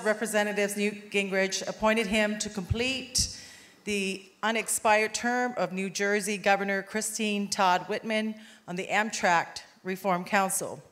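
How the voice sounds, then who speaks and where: A woman's voice: medium pitch, a bit bright, roomy sound. A woman hosting a public meeting, reading a prepared introduction of the guest speaker. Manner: reads a prepared biography. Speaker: event host.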